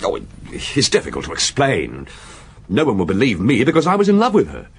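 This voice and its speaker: A man's voice: on the low side, huge pitch swings, brisk, husky, heavily acted. An actor playing an earnest, lovelorn young junior detective in a radio drama, hesitant and defensive but deferential to his superior.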